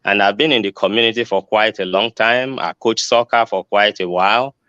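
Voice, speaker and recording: Older man, hesitant, low voice. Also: fairly even intonation, brisk, bright, forceful tone, clear, resonant tone, dry recording. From Nigeria. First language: Yoruba. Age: 60s. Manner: hesitant, many ums and restarts. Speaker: judicial candidate. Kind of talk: answering forum questions. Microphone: video call. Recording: dull lo-fi video call audio.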